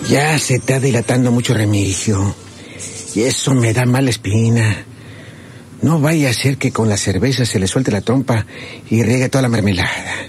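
A man's voice: mid-range voice, normal speed, a little mellow, soft background music, close to an everyday voice. A man playing a suspicious, grumbling treasure-hunting outlaw with a rural Mexican accent in a radio serial. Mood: impatient grumbling. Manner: folksy, colourful, grumbling. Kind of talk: old Spanish-language radio serial acting. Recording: noisy old radio drama recording.

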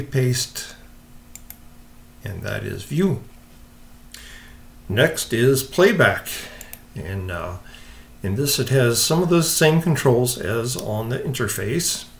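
A man hosting a casual software walkthrough, explaining step by step in an informal, unhurried way. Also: mid-range voice. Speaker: software tutorial host.

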